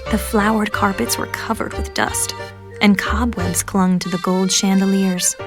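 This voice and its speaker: A woman narrating a children's storybook in an expressive, measured read-aloud manner. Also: medium pitch. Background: music.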